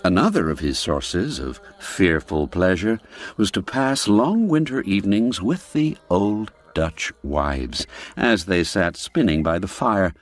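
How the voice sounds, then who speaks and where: A man's voice: deep voice, very animated, unhurried. A man narrating a classic radio drama, telling it expressively and rising and falling with the suspense.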